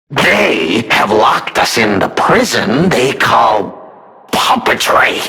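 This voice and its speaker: Manic, wildly swooping puppet villain. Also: male voice, fairly high pitch, gravelly, full character voice. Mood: vengeful, gleeful. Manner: theatrical, gleefully unhinged, swinging between hushed and shouted. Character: manic, mocking, murderous male puppet, self-styled king of riddles. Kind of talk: theatrical video game villain acting.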